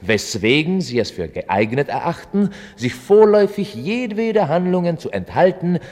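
An actor playing a sober chronicler, narrating a radio play in a formal, deliberate, deadpan way. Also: male voice, a little high. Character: sober male chronicler of an absurd future war.

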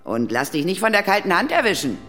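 A woman's voice: on the low side, very animated, fast, fairly bright tone. An actress playing a seasoned, quirky female ghost hunter, instructive and drily humorous, in a German children's audio drama. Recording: reverberant hall, music bed.

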